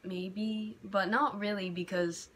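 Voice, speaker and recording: A woman's voice: medium pitch, fairly even intonation, slow, a bit bright, a little hiss or hum. A young American woman vlogging about her feelings in a candid, rambling way, full of "like" and restarts, growing heated when frustrated. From United States. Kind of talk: personal reflective vlog. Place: home bedroom by a window.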